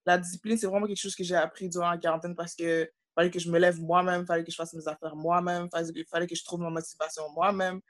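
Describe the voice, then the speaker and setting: A woman's voice: lowish voice, no echo. Young Québécois woman, student guest, candid conversational delivery, dry close-miked sound over a video call. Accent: Québécois French. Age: young adult. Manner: candid, conversational, fillers like "tu sais".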